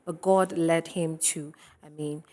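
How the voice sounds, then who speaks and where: A woman hosting a TV studio discussion, chatty and quick to laugh between remarks. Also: low-pitched, steady tone, a little mellow. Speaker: TV talk show host.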